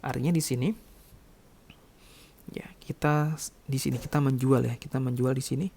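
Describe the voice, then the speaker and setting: A man's voice: medium pitch, steady tone, moderate pace, creaky, dark tone, husky. A man teaching like a lecturer, explaining step by step and often adding short check-in words as he goes. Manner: instructional, repeatedly checking with short tag words. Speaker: accounting lecturer.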